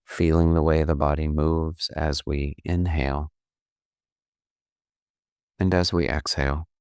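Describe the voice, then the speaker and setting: A man leading a guided meditation as a coach, calm and unhurried, gently instructive with long pauses. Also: rumbling low, clean tone, no room sound, clean studio sound.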